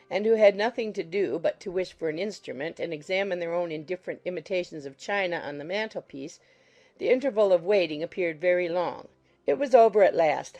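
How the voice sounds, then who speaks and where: Lower-pitched, creaky woman narrating. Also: mellow. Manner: measured, literary reading. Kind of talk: scripted audiobook narration.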